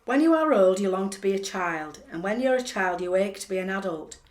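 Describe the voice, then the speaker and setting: A woman's voice: mid-range voice, animated, fairly quick, close to an everyday voice. A woman performing as a reflective, musing narrator in a radio play, reading scripted narration. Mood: wistful. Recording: roomy echo.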